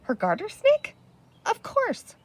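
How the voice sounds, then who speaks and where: Woman as an earnest young girl, high-pitched. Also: wildly swooping pitch, slow pace, heavily acted. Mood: wide-eyed realization. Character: sensible young girl, earnest and wide-eyed. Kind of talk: children's storybook character voice.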